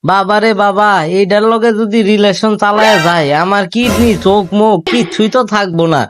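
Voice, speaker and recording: A man's voice: high-pitched, steady tone, normal speed, noisy background, natural voice. A man voicing a hapless, scheming young son in a comic cartoon, whiny and exclaiming, with a Bangladeshi accent. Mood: exasperated, whiny.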